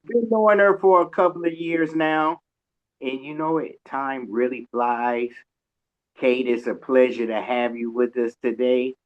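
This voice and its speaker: Guy hosting a radio chat, slow and informal, jokey, kinda muffled lo-fi sound. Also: medium pitch, steady tone. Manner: informal, colloquial, self-described loud joker. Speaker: radio show host. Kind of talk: casual interview chat. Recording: dull lo-fi audio.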